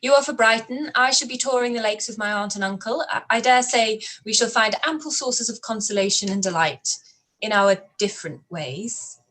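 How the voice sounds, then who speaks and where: A woman's voice: on the high side, normal speed, a bit bright. An actress playing an earnest, articulate young woman in a live-read radio play. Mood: cool.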